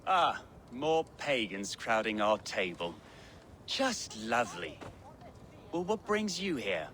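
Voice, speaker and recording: Sardonic, pious young man, animated. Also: mid-range voice, slow, a little hiss or hum. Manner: sardonic, sanctimonious. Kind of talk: video game cutscene acting.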